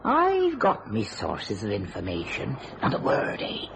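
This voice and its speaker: A woman's voice: very deep voice, wildly swooping pitch, a little slow, gravelly, full character voice. A superstitious English charwoman in a radio thriller. Her folksy, working-class talk rises and falls freely, full of colourful phrases. There is faint noise behind her. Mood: confiding.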